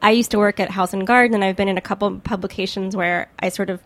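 A woman's voice: medium pitch, fairly even intonation, quick pace, no echo. Female American podcast host, mid-range voice, chatty and enthusiastic interviewer.

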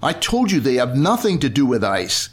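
A man's voice: mid-range voice, very animated, moderate pace, husky. A man playing a patient but exasperated father explaining things to his little girl in an old-time radio comedy.

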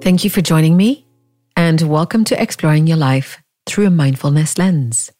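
A woman leading a guided meditation, speaking in gentle, inviting questions with long pauses between phrases. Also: sits low, soft background music. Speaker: meditation teacher.

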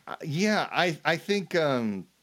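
A man co-hosting a casual chat about game mastering, talking in a halting, rambling way and often repeating words. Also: fairly high pitch, very animated, a bit bright, no echo, studio quality. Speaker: podcast co-host.

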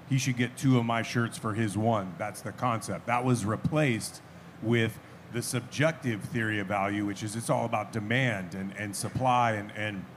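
A man on a live event panel, explaining ideas in a relaxed way. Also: medium pitch, a little hiss or hum.

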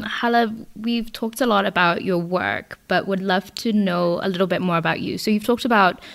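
A woman's voice: on the low side, gravelly, a bit bright. A woman interviewing her guest, asking encouraging, admiring questions.

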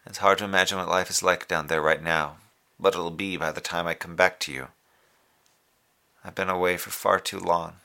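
A man playing a formal, dutiful moonbase communications officer giving a measured, precise report in an audio drama. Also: low-pitched, fairly even intonation, vocal fry, pushed and bright, clear, resonant tone, heavily acted. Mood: tender, longing. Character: formal, dutiful male moonbase radio officer, tender underneath. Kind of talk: audio drama scripted log transmission.

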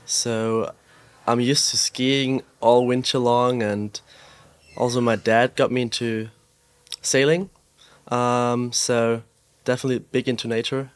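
A young man from Austria, a guest in a podcast interview, talking in a relaxed way with lots of "like" and "um" and an easy laugh. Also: medium pitch, clean tone, no echo. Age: young adult. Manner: relaxed, fills pauses with "like" and "um", laughs easily. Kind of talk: casual interview chat. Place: pop-up store.

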